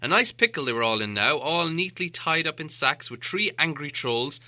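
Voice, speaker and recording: Animated, very bright-voiced male storyteller. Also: a little high, moderate pace, dry recording. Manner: dramatic, theatrical storytelling. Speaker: audiobook narrator. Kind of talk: expressive scripted storybook narration.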